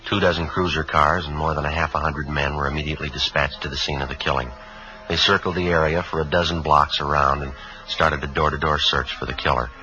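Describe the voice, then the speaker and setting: Very deep, terse radio detective. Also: male voice, brisk, a little hiss or hum. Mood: matter-of-fact, grave. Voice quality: low-voiced. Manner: terse, flat, matter-of-fact delivery. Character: stoic, weary police detective, adult man, terse and restrained. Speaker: lead actor and narrator. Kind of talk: 1950s radio police drama, scripted narration. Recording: dull vintage 1950s radio recording.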